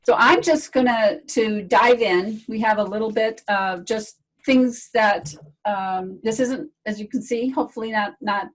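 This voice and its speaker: A woman's voice: mid-range voice, poor audio. A woman hosting a casual video call Q&A as a medical director, chatty and friendly with rambling restarts.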